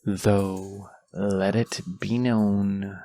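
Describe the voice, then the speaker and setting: A man playing a proud, condescending wounded dragon in a fantasy audio roleplay, pompous and deliberate in his phrasing. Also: on the low side, little pitch movement, warm tone. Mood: grudging, haughty.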